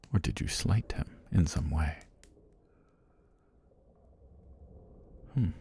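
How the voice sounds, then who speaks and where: A man performs an ancient male serpent god with a low, dark voice. He speaks in formal, whispery phrases that rise and fall, intimate as ASMR. He is calm and tender, with a predatory edge. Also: heavily acted. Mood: calm, curious. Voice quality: husky, breathy low voice. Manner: slow, deliberate, formal speech with whispery delivery. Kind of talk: intimate ASMR roleplay monologue.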